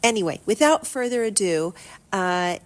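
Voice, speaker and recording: A woman's voice: mid-range voice, expressive, normal speed. A woman hosting a programme, chatting casually as she introduces a reading. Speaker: programme host. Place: chilly old music hall auditorium.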